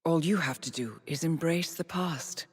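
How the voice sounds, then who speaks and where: An actor playing a calm, scheming mastermind with a measured, insinuating manner. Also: male voice, fairly high pitch, breathy edge, in a large hall.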